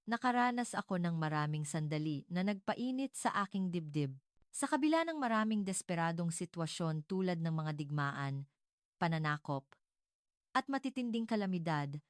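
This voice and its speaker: A woman reading a scripted dramatic story as narrator, in a measured, formal manner. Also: fairly low pitch, clear, resonant tone, no echo, clean studio sound.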